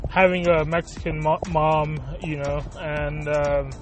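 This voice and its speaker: A man hosts a podcast, talking slowly in a fairly high voice and stopping often to search for words. A clearly audible music bed runs under his casual monologue. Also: level tone. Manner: halting, full of fillers and restarts, searching for words. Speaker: podcast host.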